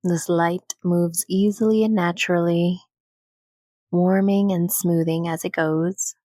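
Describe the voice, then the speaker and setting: A woman leading a guided meditation in a gentle, soothing, unhurried manner with long pauses between phrases. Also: fairly low pitch, steady tone, no echo, clean studio sound.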